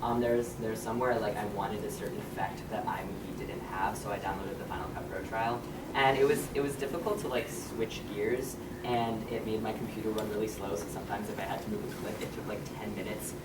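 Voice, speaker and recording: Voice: mid-range voice, steady tone, brisk. Young panelist rambling in an echoey hall. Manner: rambling, with many restarts and fillers. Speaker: convention panelist. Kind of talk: casual panel talk. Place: convention panel room. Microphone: far camera. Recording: echoey room, distant camera, loud noise.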